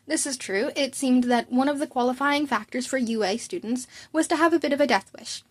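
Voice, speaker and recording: High-voiced woman narrating a story. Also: a little fast. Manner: informal, stumbles and rereads lines. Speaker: amateur fan fiction narrator. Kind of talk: story narration with character dialogue.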